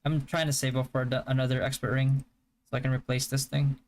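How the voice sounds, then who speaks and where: Flat-voiced man chatting casually on stream. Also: mid-range voice, a little fast. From Philippines. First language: Hiligaynon. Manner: relaxed, stop-start, with frequent fillers and restarts. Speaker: game streamer. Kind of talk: casual live-stream chat answering viewers.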